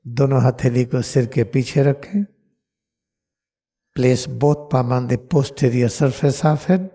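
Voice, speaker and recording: Very slow, mellow Indian male meditation guru. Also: medium pitch, fairly even intonation. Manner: deliberate, repetitive, solemn instructing. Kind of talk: slow guided meditation instruction.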